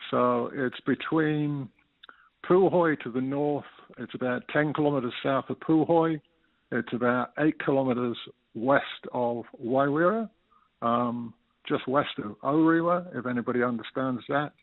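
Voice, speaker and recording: Gravelly older Englishman on a phone line. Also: medium pitch, measured pace, no room sound. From United Kingdom. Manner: steady, explanatory, hesitant fillers. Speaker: guest, local resident. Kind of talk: phone interview.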